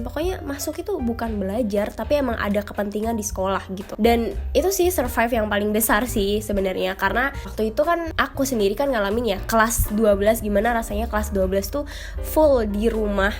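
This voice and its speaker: Young woman, nasal cold voice, casual vlog chat, mixes in English phrases, mid pitch, faint music behind. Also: darkish tone. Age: young adult. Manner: chatty, mixes English phrases into her talk. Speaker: vlogger.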